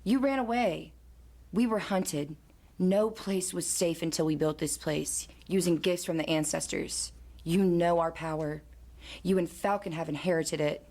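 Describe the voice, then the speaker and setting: A stern, proud matriarch of a tribe, acted by a woman in an audio drama. Her middle-aged voice sits low for a woman and carries command, as a mother and ruler used to being obeyed. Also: a little slow, darkish tone. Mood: accusing. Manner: commanding, authoritative.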